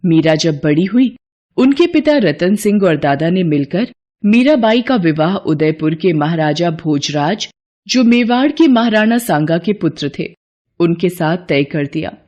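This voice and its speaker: A woman's voice: on the low side, lively intonation, unhurried, darkish tone. A woman giving scripted narration of a devotional moral story.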